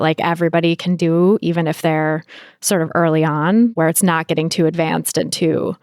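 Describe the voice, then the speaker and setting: Woman podcast host, smooth clear voice, dry close sound, chatty and thinking out loud. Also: fairly low pitch, dry recording. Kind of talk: conversational interview talk.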